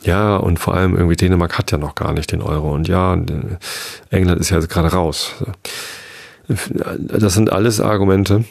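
A man from the Hamburg area, talking through his ideas in a reflective monologue, halting often with fillers and restarts. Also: deep voice, fairly even intonation, gravelly. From Northern Germany, Hamburg area. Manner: hesitant, many fillers and restarts.

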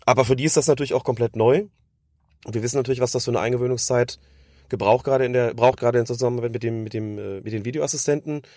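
A man, hoarse from a cold, giving long analytical explanations as a podcast co-host. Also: medium pitch, steady tone, very fast, darkish tone, no room sound, studio quality. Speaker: podcast co-host discussing refereeing. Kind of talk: casual podcast chat.

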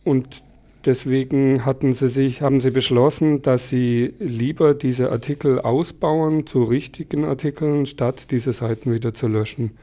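A man in his forties whose first language is Alemannic, a podcast guest explaining things calmly with frequent pauses and fillers. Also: mid-range voice. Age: 40s. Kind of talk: conversational interview.

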